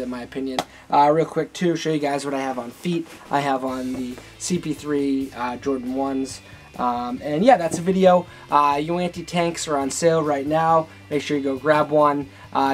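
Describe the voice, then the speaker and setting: A young man giving a casual product review to camera in an informal, halting way, correcting himself as he goes. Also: a little high. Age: young adult. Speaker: sneaker vlogger and host. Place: furnished living room, indoors.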